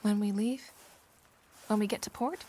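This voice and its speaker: An actress playing a tender, earnest young woman in a Regency audio drama, speaking with gentle, deliberate feeling. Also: medium pitch, steady tone, lightly acted. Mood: heartfelt. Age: young adult.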